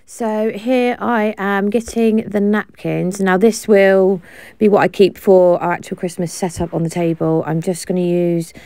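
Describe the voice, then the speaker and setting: A woman giving a relaxed, chatty voice-over that explains each step with frequent fillers. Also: mid-range voice, measured pace, clear, resonant tone, no room sound. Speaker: home cleaning vlogger. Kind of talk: casual voice-over narration.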